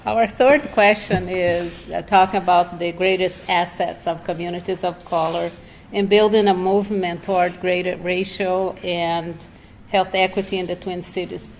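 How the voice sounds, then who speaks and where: Woman, lowish voice, measured and earnest panel talk, light room noise behind. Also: steady tone. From Brazil. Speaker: panel speaker, state health agency worker. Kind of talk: public panel talk, personal and reflective. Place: indoor library event. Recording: clearly noisy room ambience.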